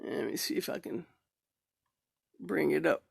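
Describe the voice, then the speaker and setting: A woman's voice: low voice, creaky, breathy edge, no echo, studio quality. A woman giving an informal amateur poetry recital, reading and introducing pieces in her own way. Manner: halting, repeats words and syllables when speaking off the cuff. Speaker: amateur poetry reader and singer.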